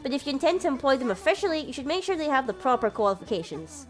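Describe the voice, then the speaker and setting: A high-voiced young sister from a visual novel, acted out by a woman, prim and doting. She explains things politely and proudly, with a giggly touch, over faint music. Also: lively intonation, very fast. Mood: instructive. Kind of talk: visual novel character read aloud.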